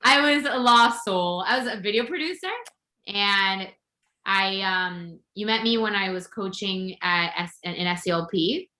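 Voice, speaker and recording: A woman's voice: medium pitch, a little slow, sharp tone, reverberant, poor audio. A woman from Venezuela, a podcast guest and intuitive coach, telling her story in an open, upbeat way. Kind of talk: casual interview chat.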